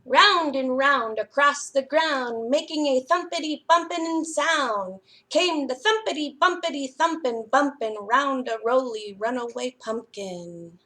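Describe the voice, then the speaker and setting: A woman with a high-pitched voice leads a story time for young children. She reads at a slow pace, setting out each phrase deliberately. Her rhymes come out in a sing-song lilt, as a children's storyteller would read them. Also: pushed and bright.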